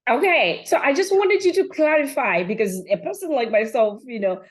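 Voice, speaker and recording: A woman's voice: sits high, sing-song, moderate pace. A woman hosting a podcast, interviewing in a conversational, informal way and addressing her audience directly. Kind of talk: conversational interviewing and event promotion.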